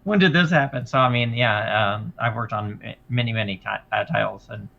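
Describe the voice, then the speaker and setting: A middle-aged man with a low, gravelly voice and a sharp, bright edge, heard through a dull lo-fi mic. He tells his stories haltingly, with plenty of "um" and "uh" and restarts. He is a guest on a casual stream. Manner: halting, many fillers and restarts, laughs while telling stories. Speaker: guest, former game designer.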